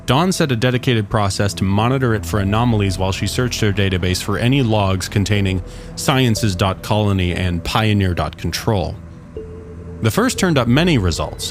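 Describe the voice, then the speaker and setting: A man narrating a scripted science fiction story. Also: on the low side. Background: music under the voice.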